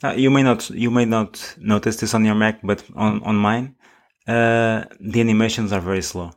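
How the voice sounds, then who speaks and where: A man co-hosting a casual tech podcast, halting and often restarting words as he searches for phrasing. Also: fairly low pitch, fairly even intonation. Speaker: podcast co-host.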